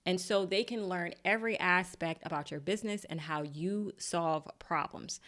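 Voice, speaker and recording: Chatty, lower-voiced woman coaching a live workshop. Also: normal speed, fairly bright tone. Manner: chatty and encouraging, laughs at her own slips. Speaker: LinkedIn marketing coach. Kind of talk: live online workshop teaching. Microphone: desk mic.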